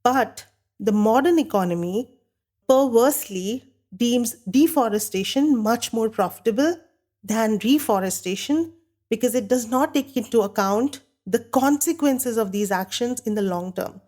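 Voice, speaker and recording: A woman hosting a podcast while she has a bad cold, sounding nasal and croaky, talking earnestly and candidly on her own. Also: fairly high pitch, expressive, measured pace, darkish tone. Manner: earnest, candid, talks with a light laugh now and then. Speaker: podcast host. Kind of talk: solo podcast monologue.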